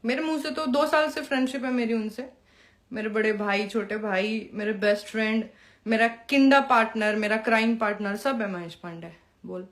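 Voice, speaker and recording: A young woman in an informal live-stream monologue, confrontational and taunting, mixing in English phrases. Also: a little high. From Bihar, India. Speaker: Bhojpuri performer addressing followers on a live stream.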